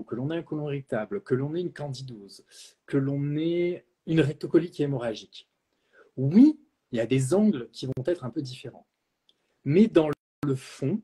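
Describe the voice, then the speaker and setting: Husky-voiced outspoken man. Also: a little high, mellow. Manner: outspoken, blunt opinions with many hesitations. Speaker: naturopath and trainer. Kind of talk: live Q&A discussion.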